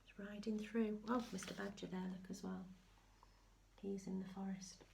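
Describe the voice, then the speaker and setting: A woman reading a picture book aloud to young children, mixing expressive sing-song lines with hushed chatty asides. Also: mid-range voice, normal speed, vocal fry, a little hiss or hum. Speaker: infant school story reader.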